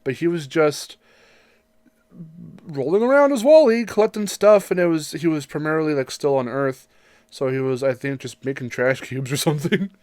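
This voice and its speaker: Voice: mid-range voice, expressive, normal speed, a little mellow, dry recording, studio quality. A podcast co-host chatting casually about games in a rambling, joking way, with some swearing. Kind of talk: casual gaming podcast chat.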